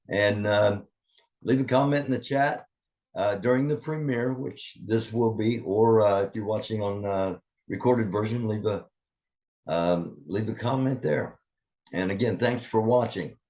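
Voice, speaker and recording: A man's voice: lowish voice, a little mellow. A man hosting an online news commentary show in a casual, rambling way, full of fillers and restarts. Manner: rambling, thinking aloud with many fillers.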